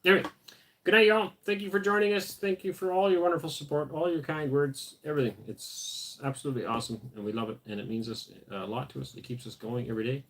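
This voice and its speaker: A tired man hosting a casual homestead livestream, reading viewer comments aloud and answering in a relaxed way with fillers. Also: on the high side, quick pace, slight background noise.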